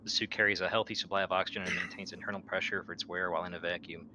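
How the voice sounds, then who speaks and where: A man's voice: low voice, level tone, rapid-fire. A man playing a captain in a tabletop roleplaying game, chatting casually with a dry joking streak. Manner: joking, sarcastic, swearing. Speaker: player as ship's captain. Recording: clear background noise.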